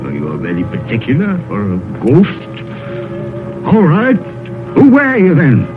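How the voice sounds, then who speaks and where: An actor playing a crotchety, stubborn, easily frightened old miser in a vintage radio drama, with a halting, blustering delivery. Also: male voice, a little high, huge pitch swings. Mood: alarmed. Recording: muffled old radio broadcast, music behind.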